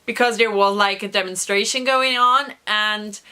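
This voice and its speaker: A young woman vlogger with a hoarse voice from a recent cough, chatting through an excited story with many fillers. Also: mid-range voice, moderate pace. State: hoarse, recovering from lost voice. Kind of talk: casual storytelling vlog.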